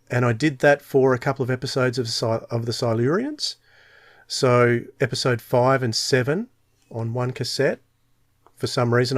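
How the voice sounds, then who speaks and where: A man's voice: mid-range voice, dry recording, clean studio sound. A man in his forties with an Australian accent, co-hosting a podcast in casual, chatty speech. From Tasmania, Australia. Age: 40s. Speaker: podcast co-host.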